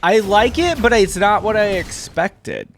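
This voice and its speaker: A man's voice: high voice, animated, unhurried, a little hiss or hum. A man giving casual reaction commentary as a gamer, thinking aloud in an informal way. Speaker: gamer reacting to game character skins.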